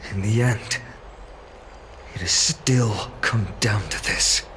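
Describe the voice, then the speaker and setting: An actor playing an earnest, scholarly man in a video game, speaking deliberately. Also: fairly low pitch, a bit bright, a little hiss or hum. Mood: concerned, resigned. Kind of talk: dramatic video game voice acting.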